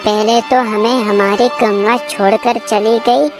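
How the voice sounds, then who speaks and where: A woman's voice: mid-range voice, steady tone, measured pace, over music, close to an everyday voice. A woman voicing a poor, kind, earnest village husband in a moral cartoon story. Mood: sad, resigned.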